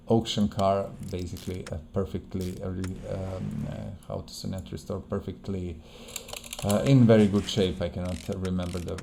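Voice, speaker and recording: Low, gravelly non-native male game commentator. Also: mellow, slight background noise. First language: not English. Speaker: gaming video host. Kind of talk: casual playthrough commentary.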